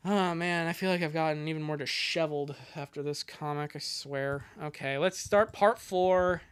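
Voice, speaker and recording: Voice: medium pitch, normal speed, clear, resonant tone. A livestreamer with a mid-range voice reads fan fiction aloud and comments on it. The delivery is sarcastic and exasperated, with crude joking asides. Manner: sarcastic, exasperated, breaks into outbursts. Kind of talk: live comic reading with joking commentary.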